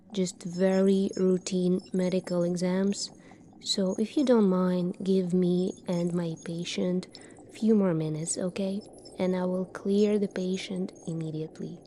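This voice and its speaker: A woman plays a calm, secretive doctor of the future in an ASMR roleplay. Her voice is mellow and a little low, often hushed. She speaks very slowly and deliberately, over faint background noise.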